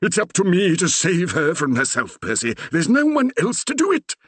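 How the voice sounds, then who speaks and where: A man's voice: sits high, huge pitch swings, normal speed, a bit bright, breathy edge, pitched above the performer's own voice, exaggerated voice. A man voicing a blustering, panicky older country vicar who exclaims in old-fashioned oaths. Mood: determined. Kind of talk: audiobook character voice.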